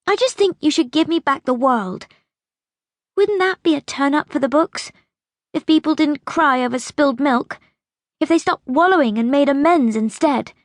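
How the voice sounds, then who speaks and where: Woman performing a bitter young girl, high voice, insistent, accusing, audiobook character, dry close sound. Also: animated, normal speed, no echo. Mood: bitter, pleading. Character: bitter, demanding young girl pleading with her great-grandmother.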